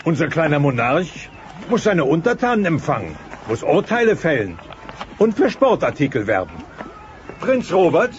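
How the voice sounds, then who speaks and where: A self-important dinosaur father with a high, wildly swinging voice. He speaks grandly and boastfully, in theatrical style. The audio drama recording sounds muffled. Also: big pitch swings, moderate pace. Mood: pompous, scornful. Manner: pompous, theatrical. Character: pompous, boastful dinosaur father of the young king. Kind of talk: German audio play acting. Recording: dull cassette sound, faint noise.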